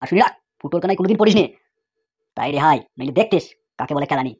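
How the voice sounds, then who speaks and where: Husky, angry cartoon loudmouth Futo, acted by a woman. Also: low voice, animated, rapid-fire. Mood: angry ranting. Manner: ranting, punctuates speech with slangy tags. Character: angry, swaggering cartoon man Futo, ranting loudly. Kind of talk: comic cartoon dub.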